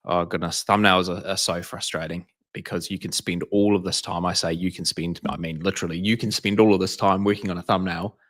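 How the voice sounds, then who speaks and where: Man, New Zealand accent, lowish voice, chatty livestream co-host, casual talk. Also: fairly even intonation, brisk, few pauses, a bit bright. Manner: chatty, reads out live comments. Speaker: detailing channel co-host.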